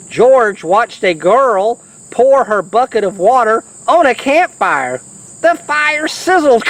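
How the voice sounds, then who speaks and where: A man reading a children's storybook in a playful, animated, folksy way. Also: high voice, poor audio.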